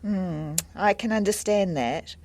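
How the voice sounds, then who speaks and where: A woman acting a helpful, friendly adviser in a scripted listening-test dialogue, speaking in a measured, explanatory way. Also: medium pitch, creaky, darkish tone. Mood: helpful, calm.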